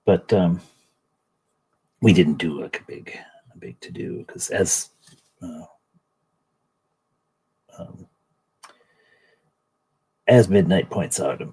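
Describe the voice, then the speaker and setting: A man with a deep voice, low in pitch and husky. He chats casually on a livestream and often drops into quiet mutters and whispered asides as he works. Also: slow pace, pauses often, poor audio. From Canada. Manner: soft, muttering to himself, drifting between quiet asides and chat. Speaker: livestream host painting miniatures. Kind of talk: casual chat while painting.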